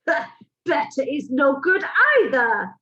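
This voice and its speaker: A tyrannical witch queen screeches her orders. Her voice is high and swoops wildly as she rants. The recording is dull and lo-fi. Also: expressive, slow pace, echoey room, exaggerated voice. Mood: ranting. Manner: screeching, shouting, foreign-sounding commands.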